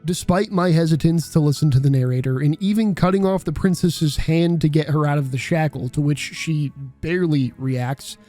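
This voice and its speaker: A male video essayist with a slightly high voice narrates from a script. He sounds conversational and irreverent, swearing freely, over a soft music bed. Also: normal speed, hardly any pauses.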